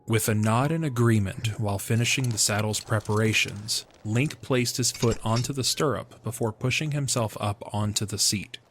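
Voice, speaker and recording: A man's voice: on the low side, moderate pace, few pauses, a little hiss or hum. A man giving scripted narration of a fantasy audio drama as its narrator.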